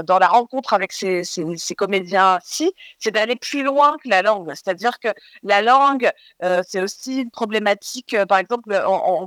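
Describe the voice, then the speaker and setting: Francophone woman filmmaker in a radio interview, halting and reflective, stacked fillers, mid pitch, dry close mic. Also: fairly bright tone, smooth, no echo. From living in Toronto, Ontario. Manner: hesitant, many fillers and repeated words. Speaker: film director.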